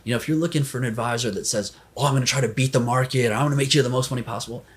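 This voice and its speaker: An American man talking as a financial advisor and podcast guest, animated in telling stories and often checking in with "right?". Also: medium pitch, racing. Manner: animated storyteller, tags sentences with "right?". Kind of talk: conversational podcast interview.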